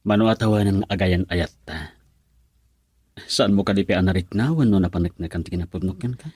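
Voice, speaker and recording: A man's voice: sits low, brisk, a little mellow, slightly rough, no echo, studio quality. A man playing a hesitant young boyfriend in an Ilocano radio drama, earnest and defensive about his worries over poverty.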